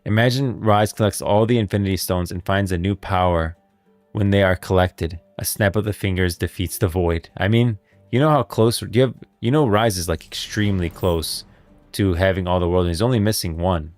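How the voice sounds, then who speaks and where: A man's voice: sits low, fairly quick, a little mellow, no room sound, faint music behind. A man hosting a livestream, talking casually about game lore and rambling as he thinks aloud. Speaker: livestream host.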